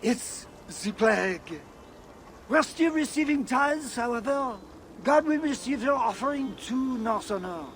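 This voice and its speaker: An actor playing men of a medieval church in a video game cutscene. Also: male voice, extremely high pitch, animated, a little slow, fairly bright tone, a little hiss or hum, heavily acted. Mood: suspicious, haughty.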